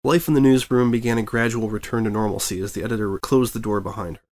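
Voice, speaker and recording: A man narrating a fan fiction story as an audiobook reader, with steady, expressive storytelling. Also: lowish voice, quick pace. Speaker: fanfic reader.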